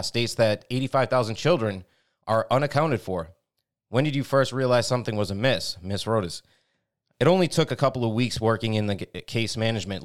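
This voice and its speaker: A man with a low, smooth and resonant voice reads a news piece aloud on a podcast. He stumbles over words and restarts them as he goes, then turns to indignant comments of his own. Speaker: podcast co-host.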